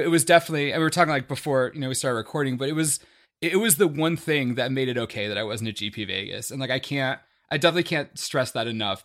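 A man telling stories in casual podcast chat, excitable and repeating words for emphasis. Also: medium pitch. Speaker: guest, charity streamer.

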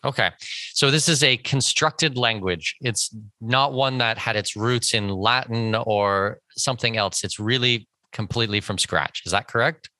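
A man from Canada hosts an interview with a bright, forceful voice. He talks in a dry, close sound and works through his ideas in long, hedged sentences. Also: mid-range voice, measured pace, dry recording. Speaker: podcast host. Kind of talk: conversational interview.

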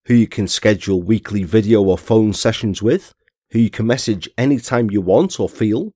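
A man hosting a true crime podcast, reading an ad and chatting to listeners, his voice a little croaky from a cold. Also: medium pitch, a little mellow, no room sound, studio quality. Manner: chatty, friendly asides to listeners. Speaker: podcast host. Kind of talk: scripted podcast narration and ad read.

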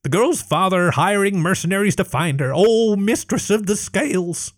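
Male reader as a squeaky, cringing little female kobold, broken grammar, high and swooping, for a storybook. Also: lively intonation, moderate pace, no room sound, studio quality. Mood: timid, pleading. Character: shrill broken-speaking female kobold leader, timid.

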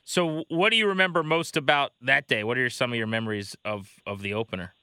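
Very bright, clear-voiced male host. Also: medium pitch, fast talker, dry recording. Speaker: sports podcast host. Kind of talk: conversational interviewing.

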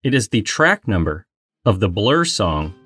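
A man's voice: lowish voice, big pitch swings, a little slow, a bit bright, faint music behind. A man co-hosting a casual trivia game, bantering playfully and announcing like a mock game-show host. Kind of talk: casual bantering quiz chat.

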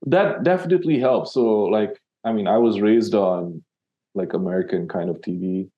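A man's voice: lowish voice, moderate pace, muffled recording. A man from Sri Lanka, a copywriter guest in an interview, talking casually and rambling with many fillers and restarts.

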